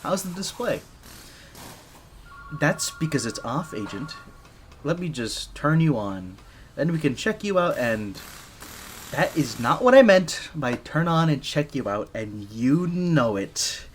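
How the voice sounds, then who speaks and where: A man's voice: fairly high pitch, very animated, slow pace, a little hiss or hum. A man playing a shy, easily flustered gadget maker for a secret agent in an audio roleplay, speaking nervously and stammering. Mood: exasperated, instructing. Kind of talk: scripted ASMR roleplay, one side of a dialogue.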